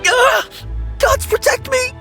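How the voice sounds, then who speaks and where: A man's voice: very high voice, slow pace, vocal fry, exaggerated voice. A man voicing a timid, polite young rookie undertaker in a video game, with formal, deferential speech. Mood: terrified. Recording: faint music behind the voice.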